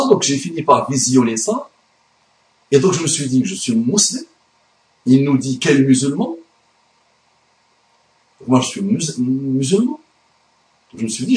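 A man's voice: fairly high pitch, a little mellow. Guy ranting about religion on his channel, emphatic, echoey room. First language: Arabic. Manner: emphatic, indignant. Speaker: YouTube commentator. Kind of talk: religious commentary monologue. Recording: roomy echo.

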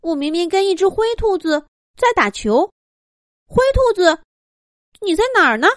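A bewildered little bunny boy, voiced by a woman in a children's storybook, his questions rising high and bouncing in pitch. The voice sounds dry and close, with soft music underneath. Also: very animated, normal speed, no room sound. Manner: wondering, hesitant questions. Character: little boy rabbit, timid and puzzled.

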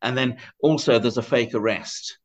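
An older man speaking as an interview guest about a book, conversational and tentative, often correcting himself. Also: mid-range voice. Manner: tentative, self-correcting, halting. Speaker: podcast guest. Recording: dull, band-limited audio.

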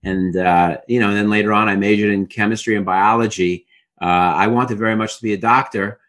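A man's voice: lowish voice, steady tone, brisk, a bit bright. A middle-aged man with a Brooklyn accent, a guest telling his life story in an interview with many fillers and restarts. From Brooklyn, New York.

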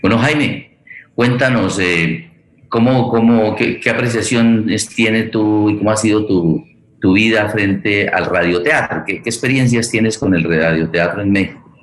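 A man hosting a radio theatre forum, phrasing things deliberately and a little hesitantly. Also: lowish voice. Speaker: host. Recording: echoey room.

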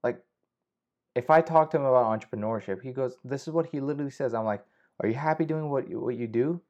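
A man's voice: mid-range voice, quick pace, a little mellow. A young man hosting a casual solo podcast, rambling with frequent swearing and restarts. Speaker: podcast host. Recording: slightly dull lo-fi audio.

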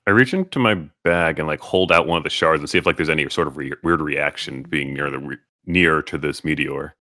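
A man's voice: a bass voice, brisk, bright, forceful tone, no echo, studio quality. A man playing a tabletop game in a playful, joking way. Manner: playful, jokey. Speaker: player. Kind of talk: casual tabletop play.

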